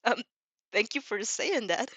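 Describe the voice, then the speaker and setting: A woman's voice: fairly high pitch, expressive, measured pace, fairly bright tone, breathy edge, no echo, dull audio, full character voice. Woman playing polite, hesitant teenage girl. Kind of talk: animated amateur fan fiction reading.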